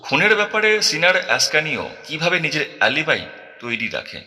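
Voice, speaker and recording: Shrewd, self-assured male detective, played by a man, audio drama; slightly high, deliberate, in a long reverb. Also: sing-song, sharp tone, exaggerated voice. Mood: serious, intent. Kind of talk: Bengali audio drama acting.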